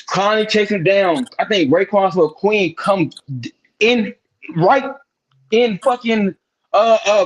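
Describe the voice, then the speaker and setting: A man with a fairly high voice co-hosts a casual sports podcast. He breaks the game down in a profane, emphatic rant and hammers his points by repeating words. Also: highs cut off. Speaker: podcast co-host.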